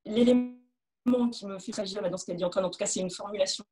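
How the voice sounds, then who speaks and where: Woman on a webinar panel, local official, mellow dark voice, medium pitch. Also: quick pace, soft background music. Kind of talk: informal spoken remarks in a webinar.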